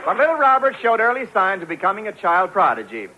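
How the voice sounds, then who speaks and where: A man's voice: sits high, sing-song, quick pace, noisy background. A man announcing and narrating from a script in the polished, formal style of 1940s radio. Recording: muffled 1940s radio broadcast.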